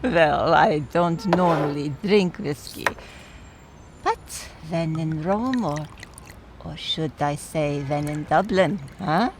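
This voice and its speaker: A woman's voice: low voice, takes it slowly, heavy background noise. A woman playing a fond, opinionated, wry older aunt in mourning, in radio play dialogue. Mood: reminiscing, proud.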